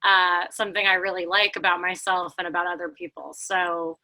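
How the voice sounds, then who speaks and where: Bright-voiced woman interviewer. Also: fairly low pitch, level tone, a little fast, low-quality recording. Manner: supportive, affirming. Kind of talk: interview conversation. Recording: remote call with slight delay.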